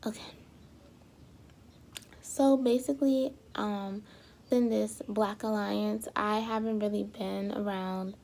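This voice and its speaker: A young woman vlogging casually to her viewers, a little hoarse as she loses her voice, rambling with lots of fillers and restarts. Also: medium pitch, a little mellow, slight background noise. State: slightly hoarse, losing her voice. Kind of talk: casual talk to camera.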